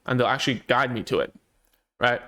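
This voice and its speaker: A man's voice: mid-range voice, a little fast, bright, forceful tone. Casual man explaining child language. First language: Cantonese. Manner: conversational, many fillers and restarts. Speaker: speech therapist. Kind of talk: casual explanatory talk to parents.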